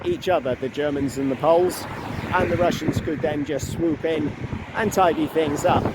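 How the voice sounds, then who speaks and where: Slow-talking man vlogging on noisy streets. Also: a little high. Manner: chatty, unhurried commentary with asides. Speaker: travel vlogger. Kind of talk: walking travel vlog narration. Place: outdoors on city streets by a river. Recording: noisy outdoor street recording. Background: loud traffic and city noise.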